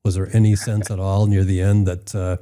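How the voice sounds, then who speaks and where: A man's voice: deep voice, flat delivery, a little fast, warm tone. A man hosting an interview, asking questions in an enthusiastic, chatty way. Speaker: podcast host.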